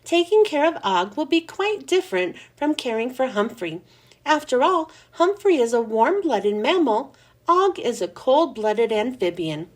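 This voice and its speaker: A woman's voice: high-pitched, sing-song, measured pace, full character voice. A woman doing a firm, kind schoolteacher. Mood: instructive. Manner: gently firm, instructive. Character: kind, firm female schoolteacher, middle-aged.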